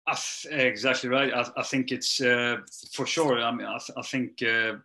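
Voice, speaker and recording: A man's voice: medium pitch, fairly even intonation, normal speed, pushed and bright. A middle-aged man who speaks English as a second language, answering interview questions with many restarts and fillers, his voice trailing off at the ends of sentences. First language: not English. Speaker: strength and conditioning coach.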